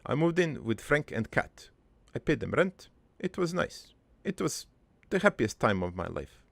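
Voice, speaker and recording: A man acts out a gravelly old cartoon father telling his life story from beyond the grave. He rambles haltingly, with crude deadpan humour and a wistful, doting streak. The voice creaks heavily, moves at an unhurried pace and sits close and dry. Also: medium pitch, dry recording, exaggerated voice. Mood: fond, sly. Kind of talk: improvised first-person cartoon monologue.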